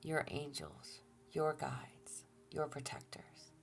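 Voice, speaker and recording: A low-pitched woman with a slightly gravelly texture gives a calm, reassuring tarot reading. She speaks softly, often falling almost to a whisper, and sounds a little stuffed up. Faint music plays underneath. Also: takes it slowly. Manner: soft-spoken, often drops to a near-whisper; gentle and reassuring, with fillers and restarts. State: stuffy nose. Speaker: tarot reader. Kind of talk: relaxed tarot reading to viewers.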